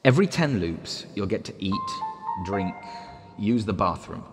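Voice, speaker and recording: An actor playing a recorded guiding Voice in a stage play, measured and instructive, cryptic and insistent. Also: male voice, lowish voice, sing-song. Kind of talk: one-man stage play dialogue. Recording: echoey room, music behind the voice.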